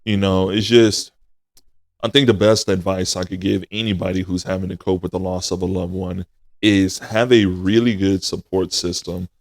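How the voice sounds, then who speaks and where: A man in his twenties hosting a casual podcast, talking in a slow, reflective way and addressing listeners as y'all. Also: low voice, flat delivery, no room sound. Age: 20s.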